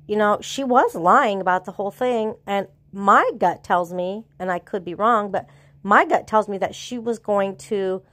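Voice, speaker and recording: A woman's voice: medium pitch, a bit bright, dry recording. A middle-aged woman speaks with a stuffy, congested voice from a cold. She tells her story casually and earnestly, wandering and restarting her sentences. The sound is dry and close, with no room around it. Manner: rambling, earnest, with restarts and trailing pauses. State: sick with a cold, congested and sore-throated. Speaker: vlogger.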